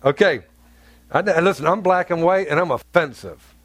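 A pastor from North Carolina preaches to his congregation in a blunt, joking, conversational way, full of asides. His voice is on the higher side for a man, and the sound is close and dry, with little room echo. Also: moderate pace, fairly bright tone. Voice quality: deep, carrying voice. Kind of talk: sermon. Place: church sanctuary.

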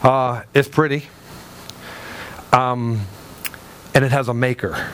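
A man preaching a sermon as pastor, emphatic and animated, with a loud voice that carries, acting out the people in his stories. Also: mid-range voice, takes it slowly, a little hiss or hum. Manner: loud, carrying voice. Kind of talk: sermon with storytelling.

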